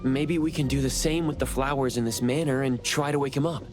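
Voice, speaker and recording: A man's voice: mid-range voice, steady tone, fairly quick, music in the background, natural voice. An actor playing an earnest, perceptive young male hero in a video game. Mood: thoughtful. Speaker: main character. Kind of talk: English video game voice acting.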